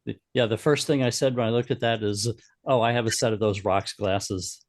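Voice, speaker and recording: A man with a low voice gives photo critiques in casual club chat. He stammers now and then as he directs where to crop. The sound is close and dry. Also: fairly even intonation, normal speed, no room sound. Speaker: camera club member giving critique.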